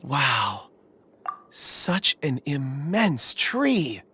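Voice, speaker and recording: A man's voice: mid-range voice, very animated, extremely slow, noisy background. A man voicing a mild, thoughtful young man in a video game, speaking politely and earnestly, in a reflective way. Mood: curious. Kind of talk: video game character acting.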